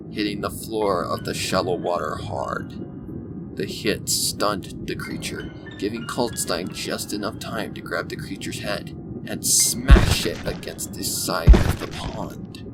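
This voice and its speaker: A man reading a scripted dark adventure story as its narrator, in audiobook style. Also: low-pitched. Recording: music bed behind the voice. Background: loud background music.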